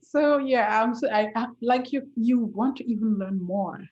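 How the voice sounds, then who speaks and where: A woman's voice: a little high, moderate pace, darkish tone, muffled recording. A woman hosting a casual interview, enthusiastic and encouraging and full of praise for her guest. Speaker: interview host.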